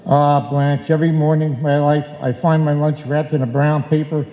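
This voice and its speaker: A man acts as a weary husband in a bickering radio comedy. He grumbles and complains with exasperation in a fairly high, softened voice. The live stage recording is very echoey. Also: flat delivery, normal speed, lightly acted. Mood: grumbling. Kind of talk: old-time radio comedy acting, performed live. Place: indoor stage before a live audience.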